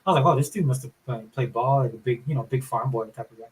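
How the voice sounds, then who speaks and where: A man with a mid-pitched, slightly whiny voice chats informally. His delivery is loose and conversational, sprinkled with like and dude. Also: fast talker, rough recording. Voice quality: somewhat whiny. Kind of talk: casual chat.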